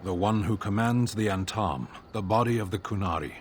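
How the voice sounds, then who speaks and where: A deep, low voice from a male actor gives life to a stern warrior in a fantasy video game. He is formal and clipped, with little patience and a deadpan delivery. A faint noise sits behind him. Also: normal speed, lightly acted. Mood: stoic, curt. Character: stoic, impatient male Qunari warrior, terse and stern. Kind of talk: video game character dialogue.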